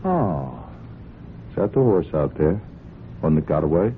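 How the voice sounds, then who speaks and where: An actor playing a police sergeant in a radio drama, questioning in a casual, insinuating way. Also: male voice, extremely low pitch, sing-song, a little slow, heavy background noise, exaggerated voice. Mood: admiring, insinuating. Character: probing, soft-pedalling male police sergeant. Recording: muffled 1970s radio recording.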